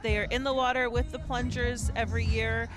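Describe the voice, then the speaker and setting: A woman's voice: on the high side, flat delivery, fairly quick, music in the background. A woman speaking as an event spokesperson in an interview soundbite.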